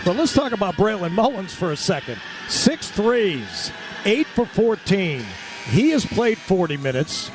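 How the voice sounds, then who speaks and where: A man doing live radio basketball play-by-play, excitable and breaking into shouts on big plays. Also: high-pitched, measured pace, over music, low-quality recording. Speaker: play-by-play sports commentator.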